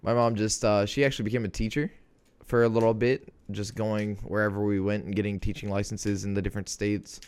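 A man's voice: lowish voice, flat delivery, a little fast, a little mellow, smooth. A young man as a podcast guest, talking in a laid-back way and swearing casually.

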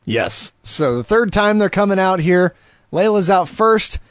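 A man's voice: high voice, expressive, measured pace, dry recording. A man co-hosting a podcast, giving a play-by-play recap of a wrestling match in casual chat. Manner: play-by-play recapping, laughs easily. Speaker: podcast co-host.

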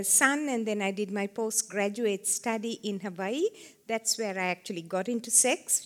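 A woman in her seventies from Sri Lanka, a guest speaker giving a live seminar talk, chatty and self-deprecating with frequent laughter. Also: medium pitch. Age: 70s. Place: seminar room with a small audience.

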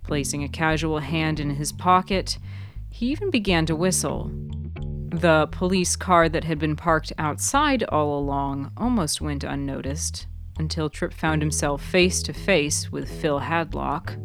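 A woman reading scripted fiction as its narrator, in a measured, deliberate storytelling manner. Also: low-pitched, music in the background. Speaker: author and narrator of a fiction podcast.

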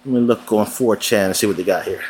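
A man's voice: lowish voice, fast, slight background noise. A man co-hosting a casual gaming news podcast, talking bluntly, swearing freely and laughing a lot. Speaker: podcast co-host.

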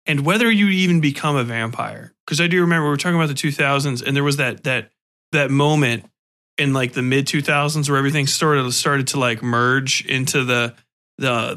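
A man's voice: mid-range voice, steady tone, brisk, bright, forceful tone. A man hosting a podcast interview, chatting casually in an informal, slangy and rambling way. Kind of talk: casual chat.

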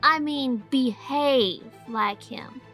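A woman's voice: sits high, extremely slow, fairly bright tone, faint music behind, voice pushed up, heavily acted. A woman voicing a curious, chatty little boy who keeps pressing with questions. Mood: eager. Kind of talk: audiobook character voice.

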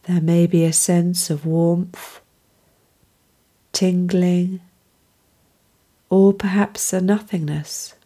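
Very slow, mellow woman guiding meditation. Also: lowish voice, breathy edge. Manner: calm, soothing, unhurried with gentle phrasing. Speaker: meditation guide. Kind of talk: guided mindfulness meditation.

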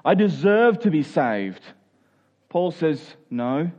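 Higher-voiced male preacher, slightly dull recording. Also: sing-song, slow, a little mellow. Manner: explanatory, repeats key phrases, checks in with listeners. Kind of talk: expository Bible teaching sermon, conversational.

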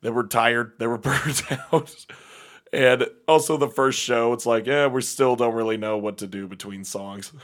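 A young man with a slightly raspy voice chatting casually as a podcast host, laughing often and rambling through fillers. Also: mid-range voice, steady tone, unhurried, a bit bright. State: slightly raspy voice after a gig. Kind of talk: casual chat.

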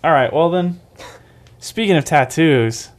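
A man's voice: a little high, animated, a little slow, very noisy. A young man co-hosting a comedy podcast, playful and mocking, laughing often. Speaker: podcast co-host. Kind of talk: casual banter and comedy sketch.

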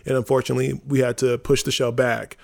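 Fast-talking man in earnest podcast chat. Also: medium pitch, level tone, vocal fry. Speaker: podcast host and theater company member. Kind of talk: casual podcast discussion.

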